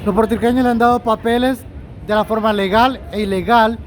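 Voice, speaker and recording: A man holding the mic at a city parade talks in a high, forceful register, firm and emphatic as he turns to call out to the crowd. A light hum of street traffic sits under his voice. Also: fairly even intonation, brisk. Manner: outspoken, emphatic, addresses the crowd. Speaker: interviewer. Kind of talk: street interview.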